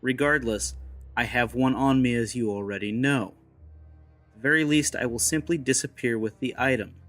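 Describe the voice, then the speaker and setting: An actor playing a wry, wary secret agent in a steampunk audio drama, sardonic and theatrical in delivery. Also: male voice, medium pitch, normal speed, fairly bright tone, dry recording, soft background music, natural voice. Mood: resolute.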